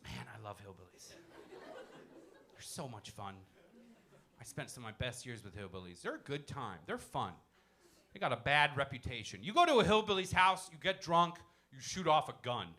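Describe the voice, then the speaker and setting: A young man from Iran doing a brash, animated stand-up set in a comedy club and teasing the crowd on a handheld mic. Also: on the high side, noisy background. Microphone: handheld stage mic.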